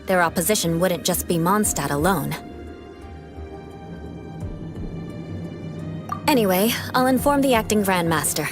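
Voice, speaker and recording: A woman's voice: on the low side, lively intonation, brisk, lightly acted. Actress as proud vengeful noblewoman knight, game character, haughty theatrical diction, swooping mid-range voice, music underneath. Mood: composed. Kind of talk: video game character dialogue.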